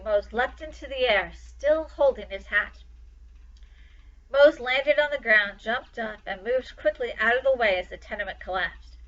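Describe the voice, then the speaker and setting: A woman's voice: a little high, fairly bright tone, lo-fi sound. A woman reading a children's story aloud with dramatic, emphatic expression. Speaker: storytime reader.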